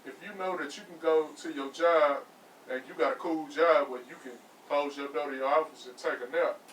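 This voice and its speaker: A man's voice: fairly high pitch, steady tone, brisk, a bit bright, distant mic, a little hiss or hum. A man co-hosting a casual podcast, reasoning aloud with stammers, restarts and profanity. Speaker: podcast co-host. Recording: echoey room, off-mic.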